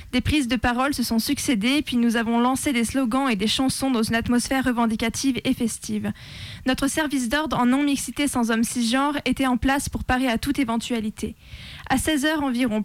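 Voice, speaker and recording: A woman with a fairly high voice reads a prepared activist statement in the role of a radio presenter. The sound is dry and close, with very little room. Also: dry recording. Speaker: radio presenter reading a communiqué. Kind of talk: scripted reading of an activist statement.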